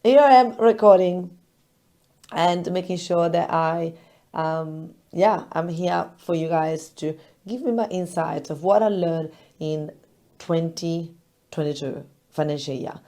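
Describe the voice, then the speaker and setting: A hoarse woman with a crackly, very low voice talks to her listeners in a loose, expressive monologue. Her tone is dark and mellow, and she laughs often between thoughts. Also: slow. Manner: chatty and expressive, laughs often, animated retellings. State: hoarse, recovering lost voice. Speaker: business podcast host. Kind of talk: casual solo podcast talk.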